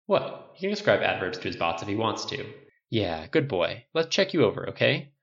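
Man voicing a jittery inventor guy, quick, high and animated, slightly muffled recording. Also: vocal fry, full character voice. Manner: fast, fidgety and easily defensive. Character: quick, restless male genius inventor.

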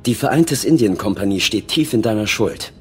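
An actor playing a steadfast knight, a loyal comrade who speaks firmly and earnestly in a game drama. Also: male voice, lowish voice, lively intonation, moderate pace, soft background music, close to an everyday voice. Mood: urging.

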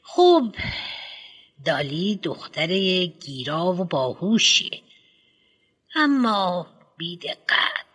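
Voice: medium pitch, big pitch swings, pauses often, a bit bright, breathy edge. A reader voicing a fussy older school head with false teeth who rambles pompously with many hesitant fillers. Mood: rambling, lecturing. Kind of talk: audiobook character reading.

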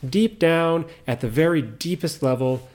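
A middle-aged man with a mixed American accent and faint Southern roots, hosting a podcast in slow, clear teaching talk for learners. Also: fairly high pitch. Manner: calm, explains step by step, repeats key points. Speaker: podcast host.

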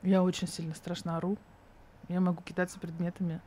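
A woman's voice: on the low side, level tone, fast, warm tone. A woman in her thirties speaking as an interview guest, candid and thoughtful with an ironic edge.

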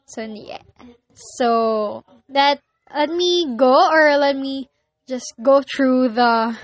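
Slow, high-voiced young Filipino woman. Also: dry recording. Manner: hesitant, searching for words with many pauses. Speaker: podcast host. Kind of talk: casual solo podcast monologue.

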